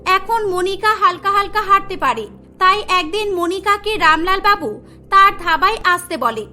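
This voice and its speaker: A woman narrating a cartoon story in a clear storytelling manner. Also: very high-pitched, measured pace, sharp tone, soft background music.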